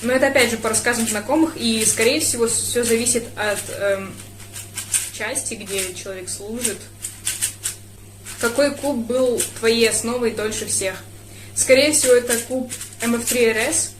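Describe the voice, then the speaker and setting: A young woman from Russia talks through viewer questions in a relaxed vlog, pausing often to think. Her voice sits a little high. The recording has a roomy echo and a faint background hiss. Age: young adult. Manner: thoughtful, hesitant with fillers. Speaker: speedcuber vlogger. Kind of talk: casual Q&A vlog.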